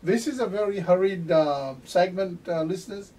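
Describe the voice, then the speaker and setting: Mellow-voiced man hosting an interview. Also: high-pitched. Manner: halting, many fillers and restarts. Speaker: talk show host. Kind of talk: casual interview. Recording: distant mic with faint noise.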